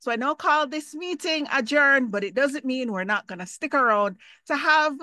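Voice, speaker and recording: A woman's voice: high-pitched, animated, normal speed, bright, forceful tone, no echo. A woman hosting an online club meeting over a video call, playful and joking between speakers. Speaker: meeting host.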